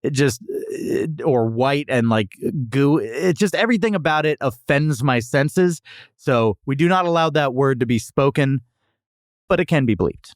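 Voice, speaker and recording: A man's voice: medium pitch, expressive, unhurried, no echo, clean studio sound. A man hosting a podcast, chatting casually about food in an opinionated, jokey way. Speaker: podcast host. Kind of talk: casual food review chat.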